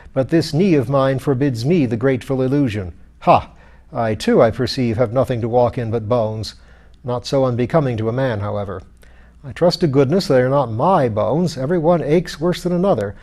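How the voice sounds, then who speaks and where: Man acting a blustering, rough skeleton lord. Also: medium pitch, moderate pace, mellow, natural voice. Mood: irritable. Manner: blustering, exclamatory, aristocratic, old-fashioned speech. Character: pompous, irritable, hard-drinking skeleton lord. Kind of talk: audiobook character voice.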